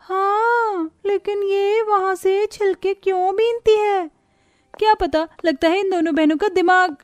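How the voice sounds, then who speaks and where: A woman's voice: very high-pitched, steady tone, measured pace, no room sound. A woman voicing a mocking young village gossip in a cartoon story, sneering and teasing.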